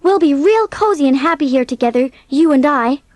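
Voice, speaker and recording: A woman playing an earnest, impulsive, warm-hearted teenage orphan girl in an audiobook drama, speaking with eager emotion. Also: high-pitched, expressive, a little fast, no room sound. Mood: resolute, reassuring.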